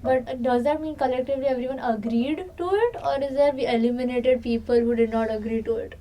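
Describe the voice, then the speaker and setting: A woman's voice: high voice, steady tone, a little fast, warm tone. A woman in a casual discussion, reasoning aloud and asking questions, recorded at some distance. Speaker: participant in an unscripted discussion. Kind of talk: casual chat. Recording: distant mic with background noise.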